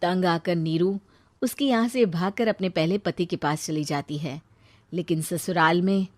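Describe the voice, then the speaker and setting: A woman's voice: fairly low pitch, measured pace, no echo. A woman telling a scripted moral story as narrator.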